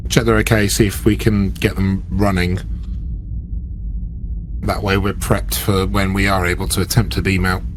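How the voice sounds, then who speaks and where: A man's voice: low-pitched, flat delivery, moderate pace, a little hiss or hum. A man playing a tabletop roleplaying game, speaking in character.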